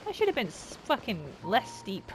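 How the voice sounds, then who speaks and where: A woman's voice: fairly high pitch, normal speed, heavy background noise. A woman doing casual, sweary, sarcastic commentary while playing a video game. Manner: sarcastic, animated, exclaiming. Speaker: game streamer.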